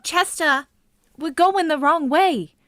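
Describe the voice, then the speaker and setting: A woman's voice: sits high, takes it slowly, fairly bright tone, no echo, studio quality. A woman playing a timid young woman on a first date in an amateur vintage radio play, sounding worried and breathless.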